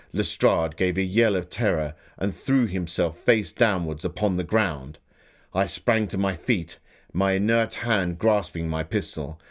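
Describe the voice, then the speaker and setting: Victorian gentleman author, low-pitched, theatrical scripted storytelling, formal and dramatic. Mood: horrified, dramatic. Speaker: voice actor.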